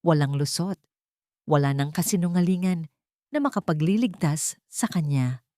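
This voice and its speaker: Woman, storyteller, lowish pitch, dramatic scripted narration, very dry sound. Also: lively intonation, normal speed, dark tone, dry recording.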